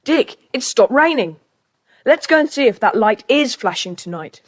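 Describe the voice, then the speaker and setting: A woman's voice: on the high side, lively intonation, normal speed. A woman playing a sensible, decisive older schoolboy who leads his group in a children's audio drama. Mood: decisive, brisk. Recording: slightly noisy tape transfer.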